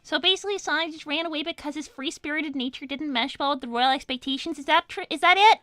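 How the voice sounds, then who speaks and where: A woman's voice: high voice, fast, a bit bright, no echo, studio quality, soft background music. A woman voicing a young female cartoon hedgehog who interviews in character, blunt, pushy and insistent. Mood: puzzled, probing. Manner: insistent, direct.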